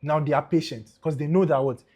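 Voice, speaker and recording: Ghanaian man, young, husky, lively podcast co-host. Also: on the high side, a little fast. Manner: animated, mixes in pidgin, laughs often. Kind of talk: casual football chat.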